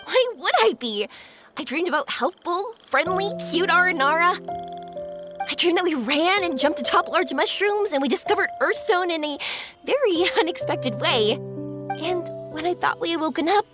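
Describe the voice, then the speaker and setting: A cheerful, excitable young woman in a game who gushes and laughs easily. Her voice is very high and lively in pitch, with music clearly audible behind her. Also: moderate pace. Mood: dreamy.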